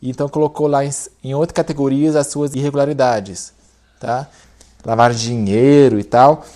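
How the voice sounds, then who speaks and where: A man's voice: medium pitch, steady tone, normal speed, warm tone. Brazilian man giving a chatty lecture. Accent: Brazilian Portuguese. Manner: conversational, many fillers and tag questions. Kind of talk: casual religious lecture.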